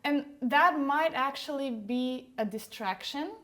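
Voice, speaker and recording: A woman's voice: high-pitched, measured pace, fairly bright tone. A young woman, a podcast guest speaking candidly in casual conversation, full of "like" fillers.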